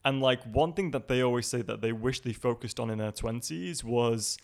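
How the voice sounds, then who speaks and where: A man's voice: medium pitch, normal speed, vocal fry. A man in his twenties in a casual chat, talking loosely and laughing often. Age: 20s.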